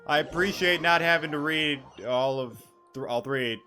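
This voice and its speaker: A man's voice: a little high, normal speed, bright, forceful tone, faint music behind. A young man giving casual let's play commentary, slangy, sweary and joking. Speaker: gaming YouTuber.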